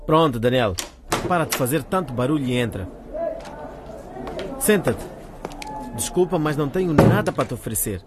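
A man's voice: medium pitch, lively intonation, moderate pace, long pauses, lightly acted. A man playing a frank, scolding teenage schoolboy in a colloquial radio drama. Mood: grumbling. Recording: faint background noise.

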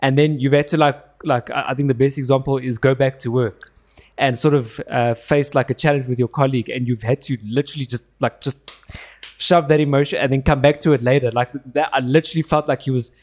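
A young man co-hosting a casual film chat. His voice sits in the middle range. He talks in a halting way, restarting as he hunts for the right words. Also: mid-range voice, steady tone, a little mellow. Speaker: film podcast co-host.

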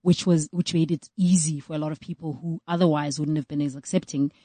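A woman's voice: low voice, fairly even intonation, brisk, dark tone, no room sound. A middle-aged South African woman speaking thoughtfully in a casual chat, often laughing. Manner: thoughtful, reflective, laughs easily. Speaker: guest.

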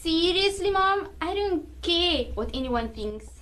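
Woman playing a defiant daughter, high animated voice. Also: unhurried, heavily acted. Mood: sulky, defiant. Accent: South African, Cape Town. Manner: indignant, whiny backchat. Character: defiant, squabbling student daughter, young woman. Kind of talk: amateur radio drama acting.

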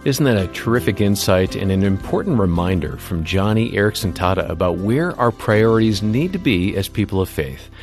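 A man co-hosting a radio program, delivering introductions in a smooth, polished announcer manner. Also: low voice, animated, a little fast, few pauses, a little mellow, soft background music. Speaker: co-host and announcer.

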